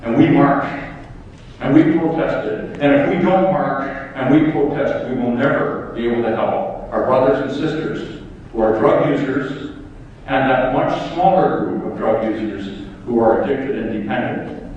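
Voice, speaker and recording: A man's voice: mid-range voice, a little slow, darkish tone, slight background noise. Older man speaking at a conference, emphatic and rallying, firing off rhetorical questions. His voice reaches the mic from far across a big echoing hall with a long tail. The sound is dull and a little muffled. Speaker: public health official giving a conference talk. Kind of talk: impassioned conference speech. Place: large lecture hall.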